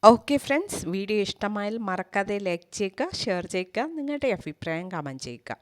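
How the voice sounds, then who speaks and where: A woman's voice: mid-range voice, sing-song, fairly quick. A woman with a Malayali accent, hosting a tech tutorial and narrating scripted step-by-step instructions.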